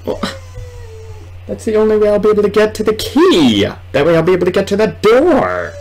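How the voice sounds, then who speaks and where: A young man giving casual, excitable commentary while playing a horror game, muttering and exclaiming to himself. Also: high voice, brisk. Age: young adult. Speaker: gamer recording a let's play. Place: small indoor room at home.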